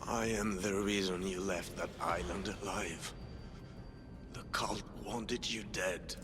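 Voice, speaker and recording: A scheming, cornered male villain in a game drama. He speaks very slowly in a lowish voice, cold and menacing, over prominent music. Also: steady tone. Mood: cold pleading. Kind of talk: dramatic video game acting.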